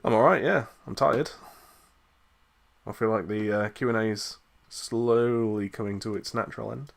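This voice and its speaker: A man's voice: lowish voice, fairly even intonation, a little slow, creaky. A man co-hosting a livestream Q&A, reading viewer questions aloud in a casual way.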